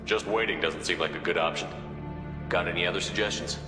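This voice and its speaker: Man as a firm, low-voiced military commander. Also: a little fast, natural voice. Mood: resolute. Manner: firm, terse, businesslike. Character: stern, no-nonsense male military commander. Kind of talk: video game voice acting. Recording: echoey reverb with music behind.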